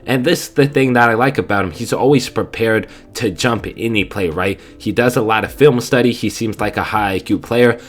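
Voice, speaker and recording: Young man, stuffy nose, lowish voice, excited film breakdown, keeps saying right. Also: brisk, few pauses, soft background music. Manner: enthusiastic, repeats "right?" often. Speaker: fan video host. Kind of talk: casual film breakdown commentary.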